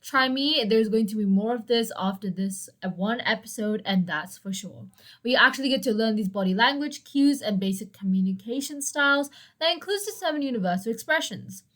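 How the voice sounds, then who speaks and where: A young woman with a hoarse, dry voice hosting a solo educational podcast, chatty and prone to self-deprecating asides. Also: on the high side, lively intonation, brisk, fairly bright tone. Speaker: podcast host.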